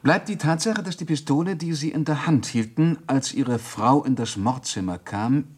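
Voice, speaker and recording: Husky, dry police inspector. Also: male voice, a little high, moderate pace. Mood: probing. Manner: formal, dry, insistent questioning. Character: police inspector, controlled, probing, dryly ironic interrogator. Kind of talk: German radio crime drama acting.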